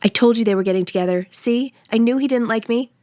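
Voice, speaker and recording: A woman reads as a distraught teenage girl in a novel. She is insistent and exasperated, pitched up from an adult's voice and full of drama. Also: fast talker, dark tone, no room sound, studio quality, close to an everyday voice. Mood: agitated. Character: distraught, dramatic fifteen-year-old teenage daughter.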